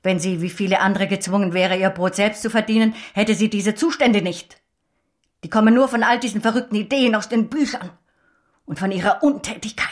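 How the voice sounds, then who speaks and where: An actress plays a disapproving older woman in a radio drama. Her voice sits at a middle pitch. She is blunt and moralising, a stern mother-in-law who lays down the law. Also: a little fast, lightly acted. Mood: stern reproach.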